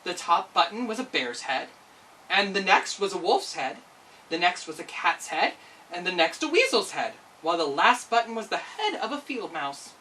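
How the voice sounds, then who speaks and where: A woman reading a children's story aloud in an expressive narrating style. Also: on the low side, normal speed, recorded from a distance, slight background noise. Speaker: storytime reader.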